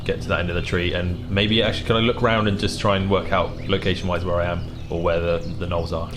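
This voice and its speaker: Low-voiced man in noisy ambience. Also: level tone, quick pace. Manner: thinking aloud. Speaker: tabletop game player. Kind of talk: casual game chat. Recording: clearly noisy room ambience.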